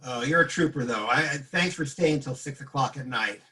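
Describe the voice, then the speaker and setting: A man's voice: medium pitch, brisk, bright, forceful tone, breathy edge, voice pushed down. Guy doing tired friendly interviewer man, casual improv sketch talk, chummy. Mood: weary, friendly. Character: weary, friendly male job interviewer.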